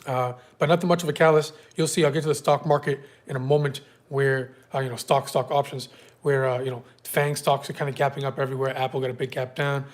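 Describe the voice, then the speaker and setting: A man's voice: medium pitch, flat delivery, fast talker. Man with a stuffy cold, hosting. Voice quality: slightly congested. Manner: loose, filler-heavy, chats with viewers by name. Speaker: day trading livestream host. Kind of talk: casual live market prep walkthrough.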